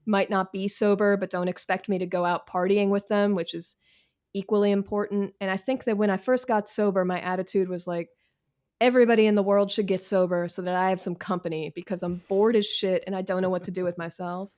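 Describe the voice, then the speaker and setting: A woman's voice: mid-range voice, fairly even intonation, a little fast, darkish tone. American woman, mid-range voice, podcast guest. Candid, wry, self-deprecating, casual and slangy. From Washington, D.C., United States. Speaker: author, interview guest. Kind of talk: casual conversational interview.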